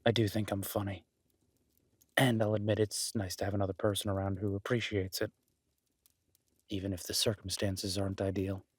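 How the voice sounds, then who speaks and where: Man acting as a husky, low-voiced reclusive cabin rescuer. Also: little pitch movement, dry recording. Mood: wry, relaxed. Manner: halting, dry teasing, reassuring. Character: gruff-kind solitary cabin-dwelling former EMT, awkward, teasing, protective. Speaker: voice actor in an audio roleplay. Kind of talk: intimate audio drama roleplay, naturalistic.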